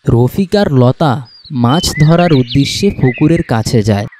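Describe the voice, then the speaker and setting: A man's voice: medium pitch, expressive, moderate pace, noisy background. A man narrating a scripted cartoon story.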